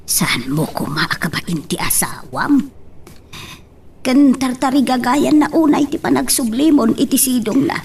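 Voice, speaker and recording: A woman's voice: sits high, expressive, unhurried, darkish tone. A woman with an Ilocano accent playing a concerned, insistent mother in a radio drama. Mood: pleading, insistent. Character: concerned, insistent mother urging her daughter to reconcile. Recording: noisy home-studio recording.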